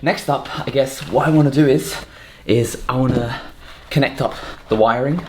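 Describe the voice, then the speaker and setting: A man's voice: mid-range voice, moderate pace, darkish tone. A man casually narrating hands-on home renovation, thinking aloud as he works. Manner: unscripted, thinking aloud while working. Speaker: host of a home renovation vlog. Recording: noisy home recording with clicks.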